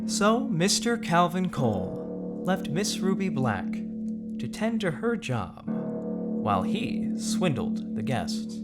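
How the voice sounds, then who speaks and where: A theatrical storyteller voiced by a man narrates a dark whimsical tale in verse. The delivery is slow and rhythmic with lively swoops in pitch, over prominent guitar music. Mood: dramatic.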